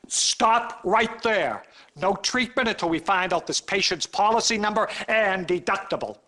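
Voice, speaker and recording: An actor playing a pushy, money-minded hospital official in a video game, curt, bossy and scolding. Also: male voice, high-pitched, pushed and bright, exaggerated voice. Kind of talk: scripted video game cutscene acting.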